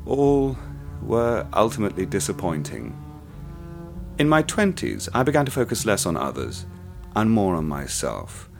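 Deep, gravelly male actor as a killer narrator, brooding, faint music underneath. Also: very animated, heavily acted. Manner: slow, brooding confession. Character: cold, self-satisfied male killer looking back. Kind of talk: dark first-person narration in an audio drama.

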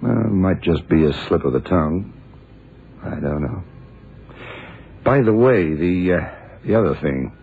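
Very deep-voiced, hard-boiled investigator. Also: male voice, animated, measured pace, gravelly, long pauses, exaggerated voice. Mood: suspicious. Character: shrewd, dogged FBI investigator Lee, a man. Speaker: lead investigator in a crime drama. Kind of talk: 1960s radio drama acting. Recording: muffled, noisy old radio broadcast recording.

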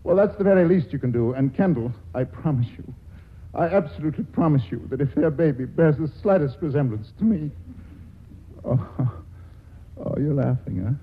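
A man's voice: fairly high pitch, expressive, normal speed, husky. An actor playing a cynical, witty male writer in a 1940s radio drama, talking with wry, sardonic banter. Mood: rueful. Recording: tinny old radio broadcast.

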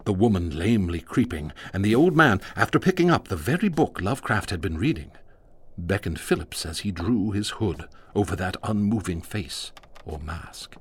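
A man narrating a radio drama in a measured, ominous storytelling manner. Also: deep voice, sing-song, slightly rough.

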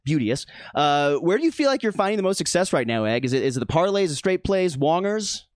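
Guy hosting a betting podcast, highish voice, really animated, slangy banter. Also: brisk, dry recording, clean studio sound. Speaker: host of a sports betting show. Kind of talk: casual panel chat, introducing co-hosts.

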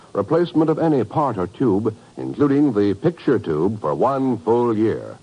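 Lively 1950s male radio announcer. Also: mid-range voice, rough recording. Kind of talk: 1950s radio announcing, scripted sponsor reads.

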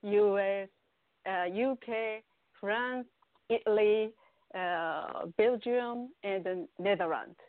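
A woman in her fifties with a Japanese accent talks slowly, with lots of "uh" and "you know". She is a singer being interviewed. Her voice comes through a tinny, phone-like line with no room sound. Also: mid-range voice, no echo. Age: 50s. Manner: halting English with many fillers. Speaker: guest singer. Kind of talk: interview conversation. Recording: tinny phone-like audio, dry.

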